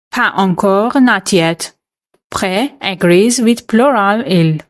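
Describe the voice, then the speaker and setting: Slow, measured woman reading a French quiz. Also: medium pitch. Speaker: French teacher. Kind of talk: scripted quiz narration.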